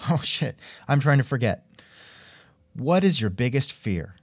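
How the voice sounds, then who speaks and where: A man with a lively, swooping delivery hosts a casual interview. He sounds wry and musing, and the recording is close and dry. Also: mid-range voice, unhurried, no room sound. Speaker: podcast host and interviewer. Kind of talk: casual scripted interview chat.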